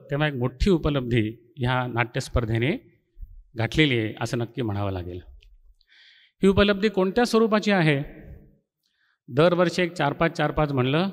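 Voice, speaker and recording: A middle-aged man delivers an inaugural college speech. His voice sits a bit higher than usual for a man. He explains and reminisces like a lecturer, and his words ring with long hall reverb. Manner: explanatory, poses rhetorical questions and answers them. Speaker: college faculty member giving an inaugural speech.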